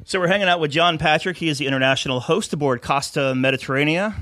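A man's voice: a little high, quick pace, pushed and bright, soft background music. A man hosting a cruise talk radio show, chatty and upbeat with frequent fillers, interviewing a guest.

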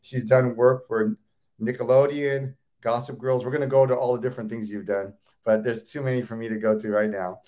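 A man's voice: mid-range voice, fairly even intonation, brisk, darkish tone. A man hosting a casual interview, enthusiastic and often repeating or restarting words. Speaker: host.

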